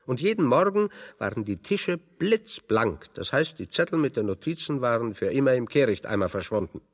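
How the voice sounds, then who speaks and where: An actor playing a wry, pedantic intellectual exile in German radio drama, explaining things with irony. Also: male voice, medium pitch, sing-song, moderate pace, long reverb tail. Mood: wry, anecdotal. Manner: pedantic, ironic, expository. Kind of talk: German radio play dialogue.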